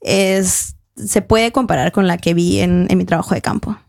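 A woman's voice: fairly low pitch, steady tone, normal speed, vocal fry, a little mellow, soft background music. A young woman on a discussion panel, speaking, thoughtful and hesitant, with many fillers and self-corrections. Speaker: panel guest. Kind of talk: academic discussion.

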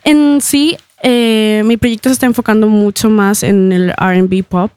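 A young woman from Ecuador, a singer being interviewed in a radio studio. Her voice is smooth and resonant, recorded close and dry, and she laughs easily between thoughts and pads her answers with soft fillers. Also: mid-range voice, steady tone, a little slow, no room sound. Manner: laughs often, speaks with fillers like "eh" and "am".

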